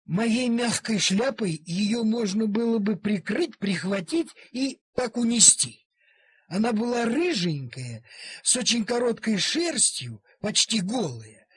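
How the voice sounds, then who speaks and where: A man's voice: extremely high pitch, husky. A man narrating a story expressively, in a theatrical way, drawing out key words.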